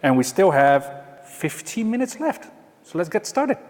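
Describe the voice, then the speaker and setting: A man's voice: sits high, sing-song, unhurried, darkish tone. A man from the Netherlands with a Dutch accent, giving a conference talk with dry, self-mocking humor. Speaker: conference presenter. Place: large conference hall. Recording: reverberant hall.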